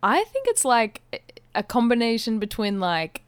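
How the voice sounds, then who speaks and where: A woman with an Australian accent co-hosting a podcast in casual, comedic chat, giggly and expressive in her reactions. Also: fairly high pitch, normal speed, clean tone, no room sound. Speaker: podcast co-host.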